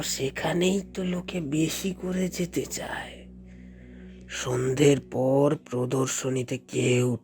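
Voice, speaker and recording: An actor playing a slim, amiable, seasoned elderly French guide in an audio story, explaining things in a measured, suspenseful way. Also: male voice, mid-range voice, a little mellow. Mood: mysterious. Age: older. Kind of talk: dramatized audio story dialogue. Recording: music bed behind the voice.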